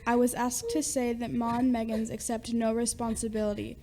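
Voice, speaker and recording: A young woman playing an apologetic company messenger in a school radio play, formal, measured and deferential. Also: on the high side, flat delivery, dark tone, slight background noise, close to an everyday voice.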